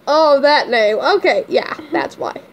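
A young woman jokes with her sister on a phone held at arm's length in a dark bedroom. Her voice is very high and lively, and she pokes fun in quick bursts. Faint background hiss runs under her. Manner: teasing, quick banter. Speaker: vlogger. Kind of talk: casual chat. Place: dim bedroom at night.

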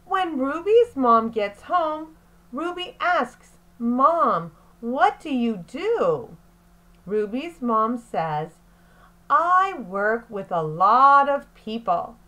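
Woman, teacher, children's read-aloud, very slow pace, animated intonation, deliberate phrasing. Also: on the high side, low-quality recording.

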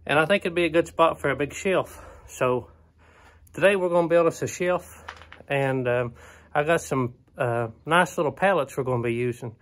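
A laid-back man in his thirties with a drawl and a voice pitched a touch high. He talks in a plain, folksy way, full of ums and uhs. The sound comes from a phone held at arm's length inside a wooden barn. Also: moderate pace, fairly bright tone. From Eastern Kentucky. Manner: easygoing, folksy, with many "um" and "uh" fillers. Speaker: homesteader vlogger. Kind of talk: casual DIY vlog talk. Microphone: phone camera at arm's length.

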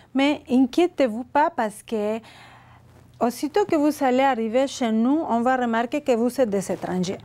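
A woman in her forties, a native Spanish speaker with a Mexican accent in French, teaching a beginner language lesson in a deliberate, carefully enunciated way. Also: on the high side, darkish tone. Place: indoor studio set with chalkboard. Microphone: lapel.

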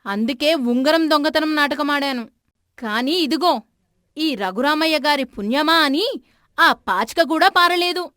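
Aggrieved young village wife, acted by a woman: high-pitched, emotional, plaintive, cartoon family drama, dry sound. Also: lively intonation, a little slow, pushed and bright, no echo, heavily acted. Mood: aggrieved complaining. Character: aggrieved young village daughter-in-law and mother. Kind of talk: animated moral story acting.

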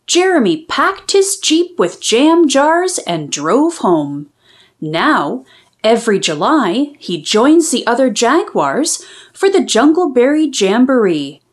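A woman reads a children's picture book aloud in a high, very animated voice. She takes her time with each phrase, giving it a deliberate, sing-song shape suited to preschoolers. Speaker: children's read-aloud storyteller.

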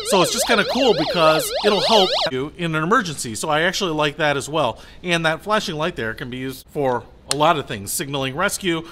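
Bright-voiced American man, chatty product reviewer. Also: on the high side. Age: middle-aged. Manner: chatty, colloquial, enthusiastic. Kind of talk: casual product demonstration.

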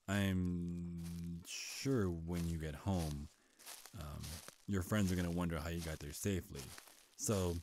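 A man playing a charming, sly male vampire in an intimate ASMR roleplay, soft-spoken, flirtatious and hesitant, with deliberate delivery. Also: low-pitched, slight background noise. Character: charming, sly male vampire, centuries old, courting prey. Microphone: close, dry microphone.

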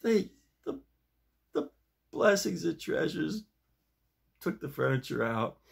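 Slow, folksy older man. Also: fairly high pitch, big pitch swings, vocal fry, husky. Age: 60s or older. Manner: folksy, halting, emphatic with repeated phrases. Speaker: vlog host and amateur playwright. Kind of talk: casual rambling chat to camera. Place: small home study, indoors.

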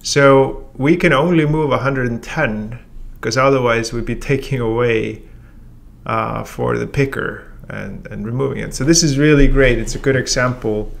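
A man in his forties teaching a software tutorial in a relaxed, step-by-step way, with frequent ums. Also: medium pitch, gravelly. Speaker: software trainer. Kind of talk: casual screen-share tutorial. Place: room with a brick wall and whiteboard, then voice-over of screen recording.

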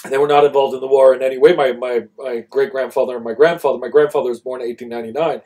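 A man's voice: mid-range voice, fairly even intonation, racing, a little mellow. A middle-aged man, native in Swedish and English, talking unscripted as co-host of a live stream. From Sweden. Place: small indoor room, seated.

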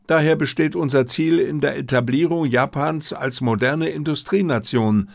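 A man's voice: on the high side, moderate pace, vocal fry, no echo, voice pushed up. A man reading a courteous, reserved middle-aged Japanese diplomat with formal, polite wording in an audiobook. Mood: formal, explanatory.

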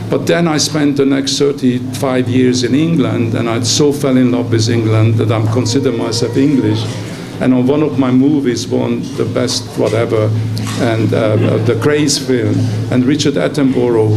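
An older man with a gravelly, fry-laced voice and a Hungarian accent gives an off-the-cuff speech into a stand mic. He rambles through anecdotes with chuckles and frequent "you know". A noisy reception room hums behind him. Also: medium pitch. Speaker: award recipient giving a speech. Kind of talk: unprepared acceptance speech. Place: consulate reception room, indoors. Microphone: stand microphone.

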